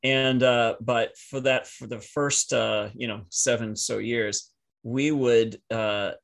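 Creaky-voiced man telling stories casually. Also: mid-range voice, steady tone, takes it slowly. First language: French. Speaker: podcast guest. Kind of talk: casual personal storytelling.

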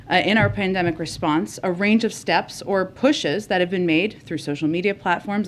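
A woman answering a reporter at a press briefing, measured and explanatory. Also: medium pitch, slight background noise.

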